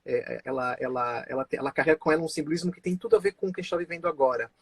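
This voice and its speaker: Male live-stream host, slightly high, husky voice, dry sound, chatty with restarts. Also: racing, fairly bright tone, no echo. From born in Israel, raised in Brazil. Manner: chatty, many fillers and restarts, laughs often. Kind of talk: casual live-stream conversation.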